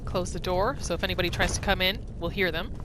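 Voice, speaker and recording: A woman's voice: on the low side, fast talker, background noise. A woman doing casual, playful gameplay commentary, narrating her roleplay as she goes and talking to herself. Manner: playful, expressive, talking to self and game. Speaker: gamer narrating own roleplay playthrough. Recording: game music behind the voice. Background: video game music.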